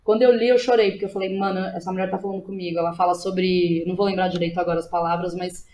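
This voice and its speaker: A woman's voice: on the low side, steady tone, very fast, dark tone. A woman in her thirties with a Brazilian accent, talking casually and candidly as an interview guest, often checking in with little tag questions. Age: 30s. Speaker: podcast guest, theatre teacher and playwright. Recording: clear background noise behind the voice.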